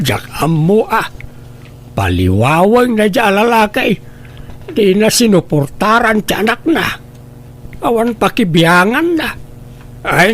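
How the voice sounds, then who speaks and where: Old grandfather, high voice, swooping intonation, exclaiming, doting and teasing, radio drama. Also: fairly bright tone, heavily acted. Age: older. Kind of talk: Ilocano radio drama acting.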